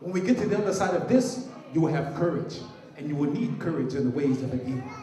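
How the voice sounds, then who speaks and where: A wise elder male eagle who speaks calmly and steadily as a teacher. A man voices him in a told story. The voice is fairly high and the room rings with echo. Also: fast talker, lightly acted. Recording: very echoey room.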